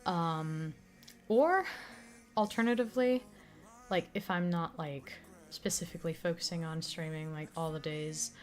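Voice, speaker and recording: A woman streamer chatting casually with her viewers, rambling with many fillers, her throat still slightly raspy from an illness. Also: on the low side, a little slow. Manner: rambling, full of fillers and restarts. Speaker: streamer and audio creator. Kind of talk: casual livestream chat.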